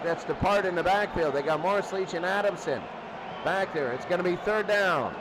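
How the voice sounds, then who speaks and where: A man doing live play-by-play football commentary, excitable and rising to shouts on big plays. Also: high voice. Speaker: play-by-play announcer. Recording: loud crowd and music behind. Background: crowd noise and music.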